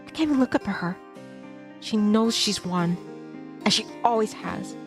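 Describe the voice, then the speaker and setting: A woman's voice: medium pitch, moderate pace. Woman narrating a visual novel over music. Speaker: VTuber streamer reading a visual novel aloud. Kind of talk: dramatic first-person narration of a game script. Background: game soundtrack music.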